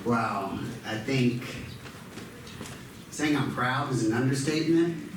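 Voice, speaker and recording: A man's voice: medium pitch, takes it slowly, a loud crowd, very noisy. A man working as a speech pathologist, praising a student at an event, who stutters with repeated sounds. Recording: echoey hall, mic far off.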